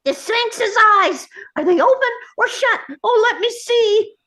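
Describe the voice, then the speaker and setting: A woman voicing a tiny old male gnome scientist, vain, fussy and impatient, in an animated script reading. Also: a little high, takes it slowly, exaggerated voice, poor audio. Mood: excited, impatient. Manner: impatient, self-important, fussy. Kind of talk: animated table-read acting.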